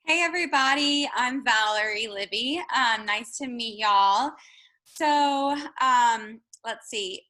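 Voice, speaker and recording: A woman's voice: fairly high pitch, takes it slowly, bright, forceful tone. A woman from Georgia introducing herself casually on a webinar, chatty with lots of "like". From Atlanta, Georgia. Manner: chatty, casual, says y'all and like.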